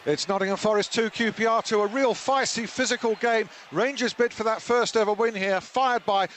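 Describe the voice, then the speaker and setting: A man's voice: high voice, steady tone, moderate pace, fairly bright tone, a little hiss or hum. A man giving a live football match report from the ground.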